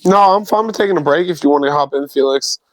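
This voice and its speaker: A man's voice: fairly high pitch, fast, no echo, poor audio. A young man playing a tabletop game, answering in short, easygoing remarks. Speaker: player. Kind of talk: casual chat in a tabletop game.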